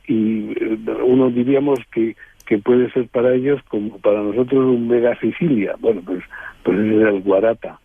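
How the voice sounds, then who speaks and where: A man telling travel anecdotes as an interview guest, halting and digressive, often adding tag questions. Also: medium pitch, no room sound. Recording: muffled, phone-like narrowband line.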